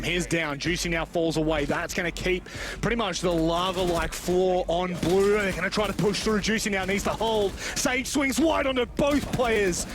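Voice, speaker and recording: A man giving chatty, colloquial live esports play-by-play commentary. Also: a little high. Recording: gunfire and clicks behind voice. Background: video game gunfire.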